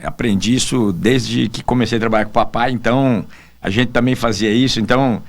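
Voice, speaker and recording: A Brazilian man in his fifties talks as a guest in a relaxed interview. His voice sits at a medium pitch. He recounts memories conversationally, tagging many phrases with a short checking question. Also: a little fast, gravelly. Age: 50s. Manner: conversational, frequent tag questions. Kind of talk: casual radio interview, reminiscing.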